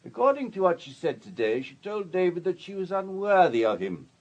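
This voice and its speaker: Urbane, animated wealthy gentleman. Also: sits high, brisk, highs cut off. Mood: confiding, measured. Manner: polished, courteous, gossipy storyteller. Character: urbane, wealthy older businessman, discreet and self-assured. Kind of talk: radio drama acting.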